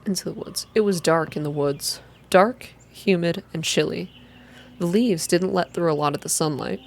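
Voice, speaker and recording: A young adult reading a visual novel aloud in a soft ASMR style, close to the microphone, with informal asides between passages. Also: mid-range voice, normal speed. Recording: clear background noise.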